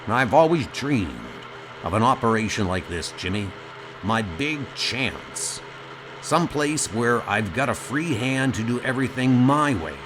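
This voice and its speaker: Guy doing a cocky space pioneer boss for a comic dub, pitch jumps around, noisy audio. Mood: proud. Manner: boastful, dismissive, forceful. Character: brash, swaggering male frontier colony boss.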